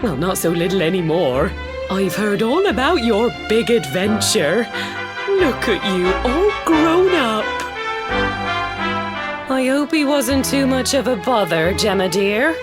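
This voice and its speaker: An animated, homely village mum. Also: female voice, mid-range voice, slow pace, music in the background. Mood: doting. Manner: homely, chatty, expressive. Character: fussing, affectionate middle-aged village mother, plain-spoken. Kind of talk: video game cutscene acting.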